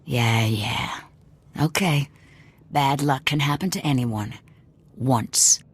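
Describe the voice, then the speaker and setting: A woman's voice: a bass voice, slow pace, breathy edge, full character voice. A woman playing a fanatical rebel cell commander in a video game, blunt, curt and threatening. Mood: impatient, threatening.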